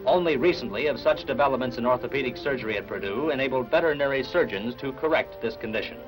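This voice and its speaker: Casting: male newsreel announcer, 1960s style, formal and measured, mid-pitched, with music clearly behind. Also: low-quality recording. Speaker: newsreel narrator. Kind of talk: 1960s newsreel scripted narration. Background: background music.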